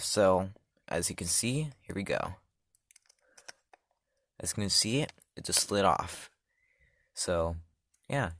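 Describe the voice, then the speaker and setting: A man's voice: sits low, no room sound, clean studio sound. A man hosting his own channel, walking through a gadget setup step by step in a loose, chatty way and often trailing off with "so, yeah.". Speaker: channel host reviewing a gadget. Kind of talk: casual step-by-step product demo.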